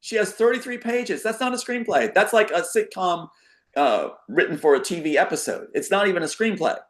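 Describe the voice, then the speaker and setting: A man's voice: high voice, lively intonation, fairly quick, a bit bright. A man speaking as a talk show guest in a casual, rambling monologue, emphatic and given to acting out quotes as he explains his theories.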